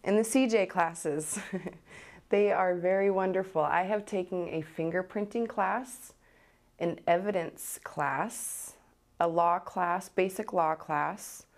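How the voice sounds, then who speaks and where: A woman's voice: lowish voice, takes it slowly. A young woman, a college student, describing things informally in an interview testimonial.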